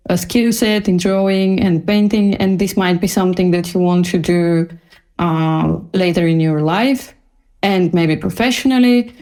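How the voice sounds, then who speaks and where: A woman's voice: fairly low pitch, a little mellow. Woman chatting as podcast guest. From Bulgaria. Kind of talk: casual interview storytelling.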